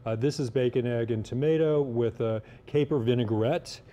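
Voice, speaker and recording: A man's voice: mid-range voice, normal speed, warm tone. A man speaking as a guest cook, casually explaining dishes with some hesitant phrasing during a TV cooking segment. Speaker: guest cookbook author and cook. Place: TV kitchen segment.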